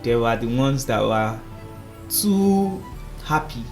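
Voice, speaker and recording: A man, a singing contest finalist, telling stories in a playful, animated way on the radio, laughing often. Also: mid-range voice, slow, faint music behind. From Nigeria. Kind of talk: casual radio interview.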